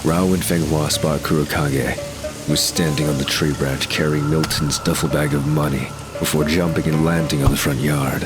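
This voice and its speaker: Male narrator for audio drama: extremely low voice, scripted storytelling, music clearly underneath. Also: little pitch movement, moderate pace.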